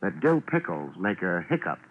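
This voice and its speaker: A laid-back American private eye from 1950s radio drama. His low voice swings widely through dry, deadpan wisecracks and hard-boiled narration. The old recording is muffled and noisy. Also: moderate pace. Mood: sardonic.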